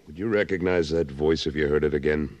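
Very deep-voiced, tough cattleman. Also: brisk, dry recording. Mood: cool, determined. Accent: American. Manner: terse, firm, controlled. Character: tough, terse, self-assured cattleman hero of old West. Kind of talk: old-time radio western acting.

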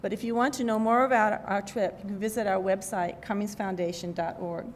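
Older woman reading a speech, rumbly background. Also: fairly even intonation, fairly quick. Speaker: keynote speaker. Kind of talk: prepared speech read from notes. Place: event hall at a podium. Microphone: podium microphone. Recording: rumbly background noise, podium microphone.

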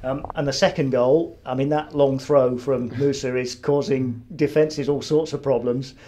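A man's voice: mid-range voice, fairly even intonation, moderate pace, dark tone. A man interviewing a player after a match, asking conversational questions that end in tag questions. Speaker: club TV interviewer.

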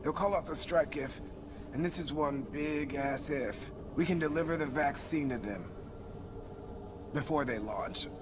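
Man acting as earnest game soldier, slow measured delivery, grave, loud ambient noise. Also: on the high side, natural voice. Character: earnest male soldier, steady under pressure. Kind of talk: video game cutscene acting.

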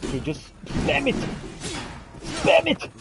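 A woman commentating on her own gameplay, with a pitch that swings widely. She talks at an unhurried pace and breaks into exclamations and pleas to the game. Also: very animated, creaky, very noisy. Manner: exclamatory, pleading, swearing at the game. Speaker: video game streamer playing a roleplay.

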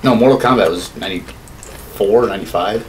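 Low-pitched man rambling over room noise. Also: moderate pace, darkish tone, poor audio. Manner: rambling, frequent swearing. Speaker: podcast co-host. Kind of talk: casual opinionated chat about films and games. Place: small home studio room, indoors. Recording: clear background room noise.

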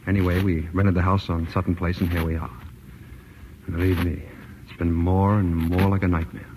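An actor playing a frustrated, earnest husband of a rich wife, pleading and halting in 1940s radio style. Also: male voice, deep voice, level tone, close to an everyday voice. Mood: troubled. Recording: faintly noisy old radio recording.

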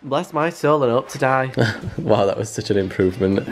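A man's voice: medium pitch, lively intonation, moderate pace, a crowd in the background. A young British man chatting casually to a handheld camera, with a wry, self-deprecating sense of humour. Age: young adult. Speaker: vlogger. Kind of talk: casual vlog chat. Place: mostly rooms at home, some street and garden. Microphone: handheld camera at arm's length.

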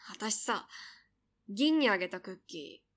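A woman's voice: medium pitch, lively intonation, unhurried, fairly bright tone. A woman voicing a devoted tomboyish young girl in a voice drama, blunt yet tender. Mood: casual, knowing. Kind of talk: Japanese voice drama acting.

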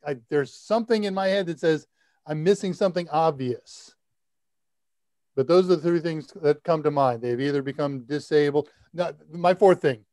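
An older man in his sixties hosting a live tech Q&A, talking casually and deliberately as he thinks aloud. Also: on the high side, darkish tone, dry recording, studio quality. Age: older, 60s. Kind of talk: casual technical advice. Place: indoor room, video call.

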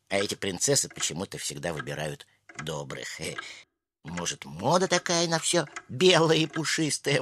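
A man voicing a sensitive young lamb poet in a cartoon, with a theatrical, earnest, self-pitying manner. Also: mid-range voice. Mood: musing. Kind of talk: animated cartoon character acting. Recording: loud music behind the voice. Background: music.

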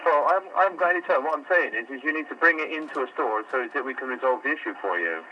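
A man's voice: on the high side, expressive, very fast, dull audio, a little hiss or hum. A man working store customer service, answering a call patiently but growing exasperated, stumbling and repeating himself. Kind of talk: phone call.

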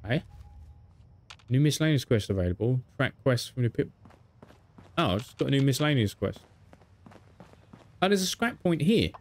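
A man chatting casually while playing a co-op game, with a soft, soothing voice and a joking manner. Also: mid-range voice, very animated, moderate pace, pauses often, a little hiss or hum. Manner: joking, mock-narrates his friend's life. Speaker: gamer co-op player. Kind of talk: casual gaming chat.

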